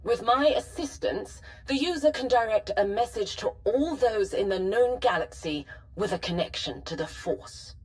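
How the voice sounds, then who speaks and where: A woman playing a formal, measured artificial personality stored in an ancient archive, precise and helpful, in a video game. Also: mid-range voice, lively intonation, natural voice, rough recording. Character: calm, formal female personality matrix of a Sith holocron. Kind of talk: scripted video game voice acting.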